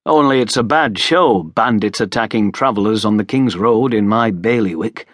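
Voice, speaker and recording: A man's voice: on the low side, moderate pace, no room sound, clean studio sound, close to an everyday voice. A man voicing a hearty, plain-spoken, hospitable short broad lord in an audiobook, with a bluff, informal manner. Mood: bluff, welcoming. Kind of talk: audiobook character voice.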